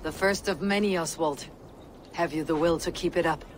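An actress playing a stern, commanding young Viking warrior woman in a video game, speaking in blunt, measured lines. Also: deep voice, lightly acted. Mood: encouraging. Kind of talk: video game cutscene acting. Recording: outdoor ambience noise behind voice.

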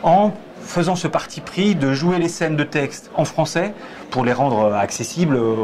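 A man's voice: on the high side, moderate pace, a little mellow. A man in his forties, a stage director, answering interview questions thoughtfully with many restarts, seated in a theatre auditorium on a lapel mic. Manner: hesitant, many repeated starts, gestures while talking. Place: theatre auditorium, in a box seat. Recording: busy crowd chatter behind. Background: crowd chatter.

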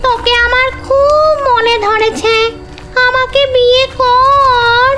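A woman playing a lonely, lovesick female ghost in a comedy cartoon, pleading and scheming in a drawn-out sing-song. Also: very high-pitched, fairly even intonation, bright, forceful tone, soft background music, heavily acted. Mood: pleading, coaxing. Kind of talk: Bengali comedy cartoon voice acting.

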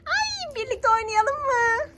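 A woman's voice: extremely high pitch, big pitch swings, slow, heavy background noise. A woman hosting a children's play vlog as a mother, coaxing the kids and talking to viewers with exclamations and many questions. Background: music.

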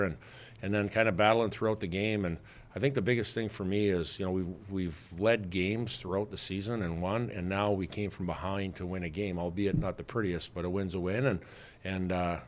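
Low-voiced middle-aged coach at a mic. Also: male voice, slight background noise. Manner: plainspoken, many fillers and restarts. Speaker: head hockey coach. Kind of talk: postgame press conference answers. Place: press room with sponsor backdrop. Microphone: desk mic on stand.

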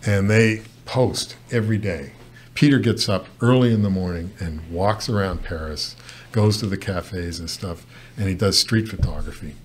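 Older canadian guy, really low voice, talks in loops with lots of uh's, slight hum behind. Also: moderate pace. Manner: rambling, frequent restarts and fillers. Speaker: guest photojournalist. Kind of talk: casual interview talk. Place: studio set with brick wall. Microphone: desk mic on stand.

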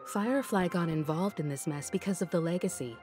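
An actress playing a composed, analytical young woman in a space-fantasy game, speaking in a measured, matter-of-fact way. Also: on the low side, natural voice. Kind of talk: scripted game voice acting. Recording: game music behind the voice.

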